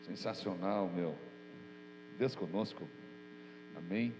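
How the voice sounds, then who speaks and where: A man's voice: deep voice, takes it slowly. A man preaching as pastor, his voice nasal and stuffy from a cold, speaking earnestly and informally. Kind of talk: prayer and sermon. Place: church hall. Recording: echoey church hall with mains hum.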